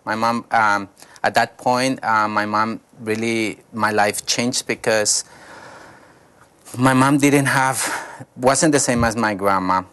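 Halting man, low voice. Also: fairly even intonation, bright, forceful tone, smooth. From Colombia. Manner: halting, searching for words with many fillers. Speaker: pastor, guest. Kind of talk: personal testimony interview. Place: studio.

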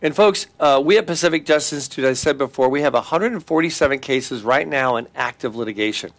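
A middle-aged man with a Californian accent speaks earnestly as an attorney on a talk show, his voice sitting in an ordinary middle pitch. He repeats details and restarts his sentences as he promotes his work and tells a story from court. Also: quick pace. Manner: earnest, repeats web address, many restarts.

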